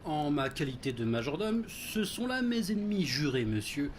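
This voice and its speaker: An actor playing a fussy, devoted butler in an audio drama, speaking in a formal, wordy, deferential way. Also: male voice, fairly high pitch, very animated, normal speed, slight background noise. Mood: uneasy, indignant.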